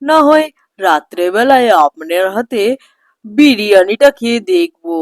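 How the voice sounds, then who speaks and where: A woman voicing a gluttonous, flattering young son-in-law in a cartoon, gushing and wheedling. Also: mid-range voice, measured pace, dry recording, clean studio sound, natural voice. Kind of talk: cartoon voice acting.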